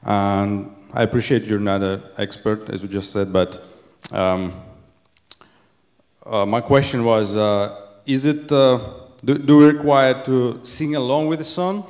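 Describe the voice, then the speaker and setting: A man in the audience asking a polite, halting question full of fillers. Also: medium pitch, a little mellow. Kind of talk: conference Q&A. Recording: echoey lecture hall.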